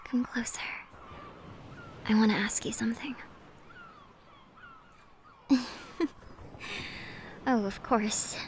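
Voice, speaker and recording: A woman's voice: a little high, steady tone, slight background noise. A woman playing an alluring, teasing siren, whispery and coaxing, in a cinematic fantasy audio roleplay. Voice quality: breathy, whispery. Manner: coaxing, playful, unhurried.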